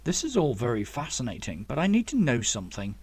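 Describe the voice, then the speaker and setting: A man's voice: mid-range voice, huge pitch swings, brisk, a little mellow, slightly rough. A man voicing an inquisitive old male scientist, a fond uncle, with an eager, questioning manner in a storybook reading. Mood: curious, insistent.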